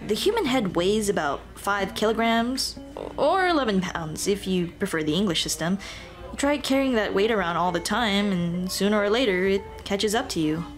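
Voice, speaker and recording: A woman's voice: mid-range voice, normal speed, faint music behind, close to an everyday voice. A woman voicing a cheerful headless Dullahan on a date in a scripted ASMR roleplay, chatting playfully and directly to the listener. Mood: amused, open. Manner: playful, animated, punning, speaking directly to the listener.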